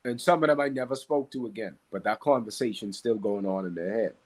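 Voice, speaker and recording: A man from the Bahamas with a Bahamian accent and a slightly husky voice, teaching conversationally and emphatically, repeating key phrases and asking rhetorical questions. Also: on the low side, brisk. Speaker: podcast guest, Qigong practitioner and teacher.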